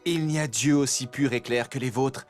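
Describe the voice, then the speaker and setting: A man voicing a young romantic king in a fairy-tale cartoon, regal and expressive, with loud music behind him. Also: mid-range voice, normal speed, lightly acted. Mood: tender. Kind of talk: animated fairy-tale dub.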